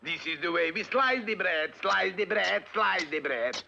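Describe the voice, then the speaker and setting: A scheming little cartoon spy with a thick mock Eastern European accent. The voice is bright and gravelly, the delivery theatrical and wicked, on a dull vintage soundtrack. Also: male voice, high voice, lively intonation, unhurried, slight background noise, full character voice. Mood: breezy. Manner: theatrical, clipped grammar dropping articles. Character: short scheming villain spy, gleefully wicked and cocky. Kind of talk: 1960s cartoon voice acting.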